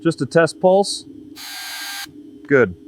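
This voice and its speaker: A man's voice: fairly high pitch, big pitch swings, drawn-out words, slight background noise. A man giving short scripted workshop remarks as a mechanic. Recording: loud music over the voice.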